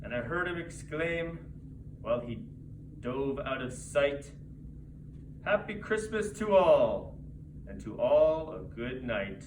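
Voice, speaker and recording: A man theatrically reciting a rhyming holiday story as a storyteller, in measured phrases. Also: mid-range voice, animated. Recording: distant mic, echoey noisy room.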